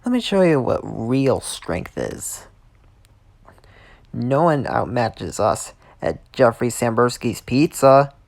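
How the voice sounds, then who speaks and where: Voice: mid-range voice, sing-song, slow pace, gravelly, heavily acted. An actor voicing a boastful, threatening animatronic mascot in a fan game, taunting the listener. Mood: smug threats. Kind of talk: fan game character voice lines.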